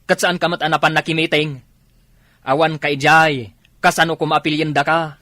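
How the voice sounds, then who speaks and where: A man's voice: a little high, level tone, moderate pace, sharp tone, no echo, studio quality. A man playing an exasperated Ilocano village husband, scolding and nagging with challenging questions in a comedy radio drama. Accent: Filipino, Ilocano.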